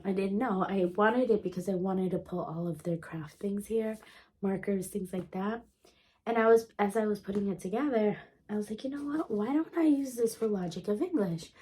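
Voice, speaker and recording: Woman, 30s, homeschool vlogger; sick, congested and throaty; mellow tone, eager chatty delivery full of ums. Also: medium pitch, a little hiss or hum, poor audio. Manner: chatty, enthusiastic, many ums and restarts. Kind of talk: casual show-and-tell vlog.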